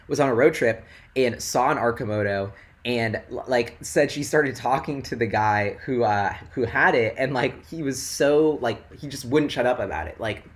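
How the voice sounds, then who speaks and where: Excitable young man, voice going hoarse. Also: medium pitch. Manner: rambling and excitable, heavy "like" filler. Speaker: investing livestream host. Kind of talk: casual livestream chat.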